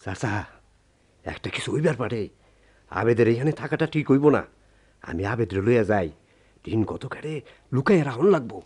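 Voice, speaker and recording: A man's voice: medium pitch, animated, normal speed, long pauses, darkish tone, slightly rough. A man playing a practical, protective young villager in a radio drama, earnest, with a rural Bangladeshi accent. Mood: cautious, resolved.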